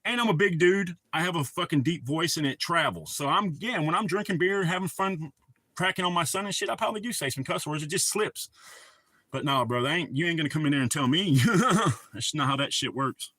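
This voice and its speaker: Bright-voiced man ranting with heavy profanity. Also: fairly high pitch, animated, fairly quick, dry recording. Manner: profane, rambling, heated storytelling. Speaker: livestream host. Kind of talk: casual ranting chat.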